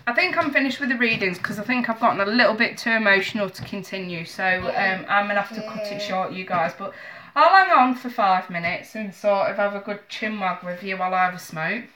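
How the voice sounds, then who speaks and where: Woman, 30s, tarot streamer; stuffy nose, bright edge, chatty and apologetic, webcam at a home desk. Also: medium pitch, a little fast, slight background noise, rough recording. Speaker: tarot reader streaming live. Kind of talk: casual live-stream chat. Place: small home office in the evening.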